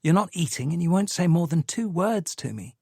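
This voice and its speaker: A man's voice: a little high, animated, brisk, a little mellow, slightly rough, dry recording, clean studio sound, pitched above the performer's own voice. A man reading aloud, voicing an anxious adult woman who speaks in a pleading, agitated way. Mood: exasperated.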